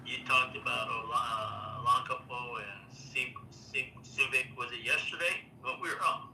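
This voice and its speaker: Older guy from taiwan chatting on a live stream, very bright tone, echoey room, mic kinda far off. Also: mid-range voice, normal speed, vocal fry. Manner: rambling, many fillers and restarts. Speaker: livestream host. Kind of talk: casual livestream chat. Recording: roomy, distant mic, faint noise.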